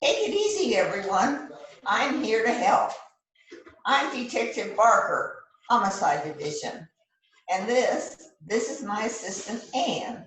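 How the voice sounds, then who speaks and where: Brash homicide detective for amateur radio mystery, played by a woman; very lively pitch, strong room echo. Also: measured pace. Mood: brisk authority. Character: brash homicide detective taking charge of a crime scene. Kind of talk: amateur radio-style readers theater. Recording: roomy echo, distant mic, background noise.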